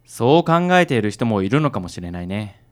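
A man playing a kindly, self-styled handsome man with a smooth, affected and reassuring way of talking in a radio drama. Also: on the low side, sing-song, brisk. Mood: gently reasoning.

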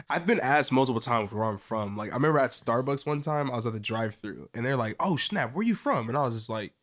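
A man with a Floridian accent in casual chat, animated, slangy and quick to laugh. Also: medium pitch.

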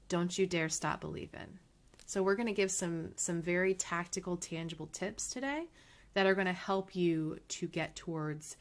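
A woman hosting her own podcast, talking in a casual, upbeat and encouraging way with a slightly nasal voice. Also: mid-range voice. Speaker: podcast host. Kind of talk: casual motivational monologue.

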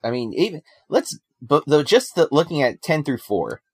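A man co-hosting a casual film podcast, relaxed and conversational, with frequent ums and you-knows. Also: a little high, moderate pace, slightly rough, no room sound, clean studio sound. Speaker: podcast co-host.

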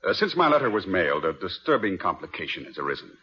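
A man's voice: low voice, sing-song, fast, husky, heavily acted. An actor playing a formal, fussy lawyer in 1950s radio comedy style, precise and stiff, hesitating as he opens. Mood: formal, grave. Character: formal, fussy male lawyer bearing complicated news. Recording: dull vintage radio recording.

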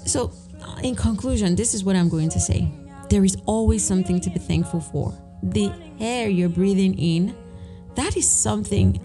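A woman hosting a solo podcast, talking in an earnest, encouraging way with lots of fillers, her voice a little raspy. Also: mid-range voice, normal speed, warm tone, soft background music. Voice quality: slightly raspy. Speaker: podcast host. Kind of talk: solo reflective podcast talk.

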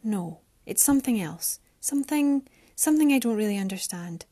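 A woman's voice: mid-range voice, lively intonation, normal speed, warm tone, slightly rough. A woman voicing a defiant, frightened young woman who speaks with rising heat.

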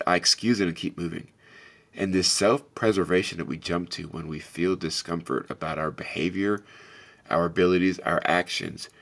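Deep-voiced man giving an earnest pep talk. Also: gravelly, fairly bright tone. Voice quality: big carrying voice. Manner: earnest, measured, speaks directly to the listener. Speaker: podcast host. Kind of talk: reflective motivational talk.

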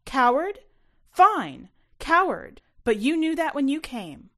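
A woman voicing a hard, embittered mother in a story, sharp, scornful and forceful. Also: high-pitched, very animated, takes it slowly, pitched above the performer's own voice, heavily acted. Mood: scornful anger.

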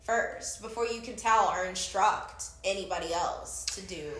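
A young woman co-hosting a casual chat in a playful, teasing, unhurried way. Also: mid-range voice, fairly bright tone, roomy sound. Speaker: podcast co-host.

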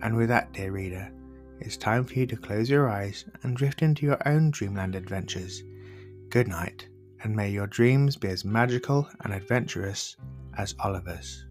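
A man's voice: on the low side, normal speed, faint music behind. A man narrating children's bedtime stories from a script as the storyteller.

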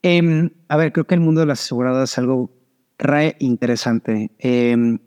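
A man's voice: medium pitch, steady tone, normal speed, a little mellow, smooth, highs cut off. A middle-aged man with a Mexican accent, giving conversational interview answers as a guest and mixing in English business terms. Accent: Mexican Spanish. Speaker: podcast guest, startup founder.

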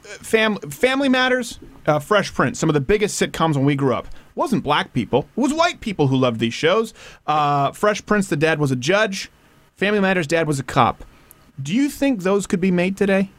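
A man from Canada hosting a comedic talk show, joking and animated with quick asides. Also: sits high. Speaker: podcast host.

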